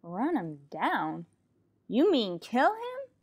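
A woman's voice: on the high side, huge pitch swings, takes it slowly, darkish tone, slightly rough, dry recording, clean studio sound, full character voice. A woman playing a plain-spoken young hitchhiker in a 1940s-style radio drama, slangy, folksy and easily alarmed. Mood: alarmed, indignant.

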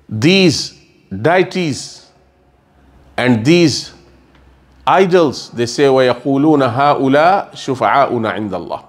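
A middle-aged man giving a sermon lecture in a mosque, explaining earnestly and repeating phrases as he gestures, into a stand mic on a table. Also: mid-range voice. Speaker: religious scholar. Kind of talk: sermon lecture with Quran recitation. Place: mosque lecture room, indoors.

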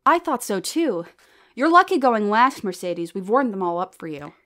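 A woman's voice: mid-range voice, big pitch swings, moderate pace, fairly bright tone. A woman playing an upbeat, kindly comedian in an audio drama, supportive and sincere in manner. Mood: excited. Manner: enthusiastic, sincere.

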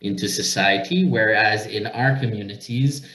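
A French-Canadian man presents over a video call, pausing often with "uh" as he looks for his words. His voice sits low, and the room around him rings with echo. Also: sharp tone. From Northern Ontario, Canada. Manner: hesitant, many filler uh's, searching for words. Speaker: organization director, webinar speaker.

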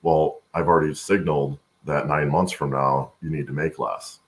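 Male interview guest, middle-aged, extremely low gravelly voice, conversational and explanatory. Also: fairly even intonation, normal speed. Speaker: guest, supply chain expert. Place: home room, on a video call.

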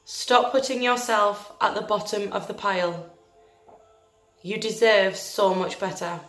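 A woman leading a guided meditation in a gentle, soothing, deliberate manner, leaving long pauses between phrases. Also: mid-range voice. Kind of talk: guided meditation, scripted narration. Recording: roomy echo with faint music behind.

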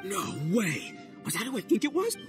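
A brash oni gang boss. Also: male voice, sits high, huge pitch swings, normal speed, music in the background. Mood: boisterous. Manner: boisterous, slangy. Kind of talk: video game voice acting.

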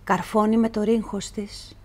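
A woman reciting literary poetry in a measured, deliberate way, with pauses between phrases. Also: medium pitch, little pitch movement, darkish tone. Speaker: poetry reader.

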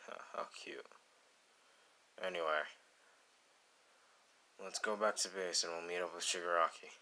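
A gravelly young male anime villain, acted by a man for an ASMR roleplay. He drawls slowly with a sharp, forceful edge. His manner is cool, deadpan and teasing, with menace underneath. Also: sits low, heavily acted. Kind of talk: ASMR roleplay addressed to the listener.

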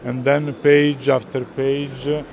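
A middle-aged man with an Italian accent explaining artworks to visitors, pausing often to search for words. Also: medium pitch, little pitch movement, darkish tone. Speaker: art gallerist presenting works. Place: large indoor art fair hall. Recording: busy hall crowd noise.

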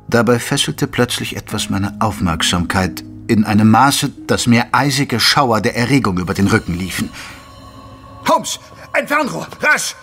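A man playing an earnest Victorian doctor who narrates a detective audio drama in a measured, literary way. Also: on the low side. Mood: agitated. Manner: measured, literary storytelling delivery. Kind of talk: scripted German audio drama narration with dialogue.

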